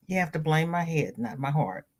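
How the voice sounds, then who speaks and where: Stuffy-nosed woman chatting on a livestream. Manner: chatty, greets viewers by name with high bright lifts. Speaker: crochet channel host. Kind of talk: casual livestream chat, reading viewer comments.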